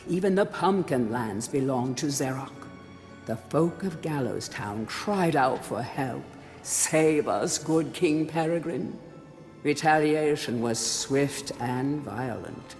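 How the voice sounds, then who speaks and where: An actor playing a grave chronicler of an old fantasy kingdom, narrating a game's storybook history in a solemn, theatrical way. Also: male voice, medium pitch, a little mellow. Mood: dramatic. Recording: long hall reverb, music underneath. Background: music.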